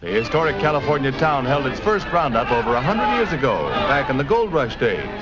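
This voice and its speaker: A man's voice: medium pitch, sing-song, a little fast. An American man narrating a 1950s newsreel in a jaunty, emphatic, punning announcer style. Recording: dull vintage newsreel soundtrack, loud music behind. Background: loud music.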